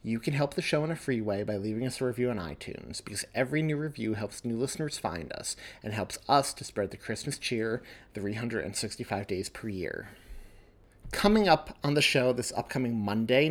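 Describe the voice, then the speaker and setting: A man hosting a podcast, giving chatty announcements and plugs to listeners. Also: medium pitch. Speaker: podcast host.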